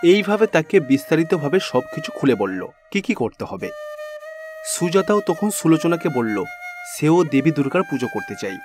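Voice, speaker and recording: A man with a fairly high voice narrates a scripted folktale in storytelling style. Music plays behind him. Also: very animated, normal speed.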